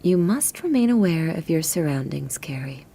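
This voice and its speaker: A firm, watchful mother from a family of vampire hunters, played by a woman in a radio drama. Her voice is slightly low and mellow, with faint noise behind it. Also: expressive, normal speed. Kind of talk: hobby radio drama acting.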